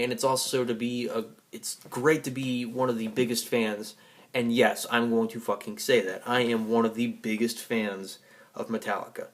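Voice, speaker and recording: A man giving a rambling personal monologue to an audience in an emphatic, defiant, profanity-laced way. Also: mid-range voice. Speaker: vlogger and music fan.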